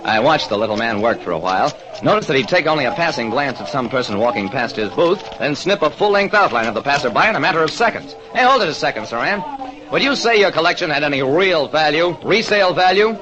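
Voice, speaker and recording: An actor playing a terse American private detective, narrating an old radio crime drama. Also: male voice, on the high side, sing-song, fast. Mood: probing. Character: wry, quick-thinking American private detective. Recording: dull vintage radio recording, music behind.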